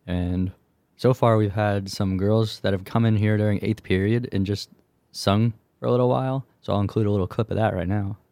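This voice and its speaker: A young man hosting a school podcast, casual and relaxed with a few fillers. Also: lowish voice, fairly quick. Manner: relaxed, easygoing, some fillers. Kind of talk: casual student podcast intro. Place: school room.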